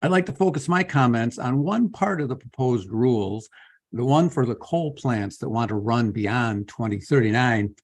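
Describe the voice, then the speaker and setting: A man's voice: medium pitch, animated. Man giving earnest public testimony. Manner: earnest, measured, spells out his name slowly. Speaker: public commenter. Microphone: video call.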